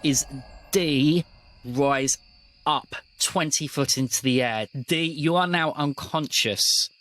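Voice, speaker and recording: A man narrating a tabletop roleplaying game as game master, deliberate and given to dramatic pauses. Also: on the high side, a bit bright.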